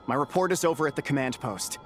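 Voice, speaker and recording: A man's voice: mid-range voice, quick pace, faint music behind, close to an everyday voice. A man voicing a dutiful soldier in a video game, giving a formal, businesslike report. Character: dutiful male soldier reporting to his squad leader. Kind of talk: English video game character acting.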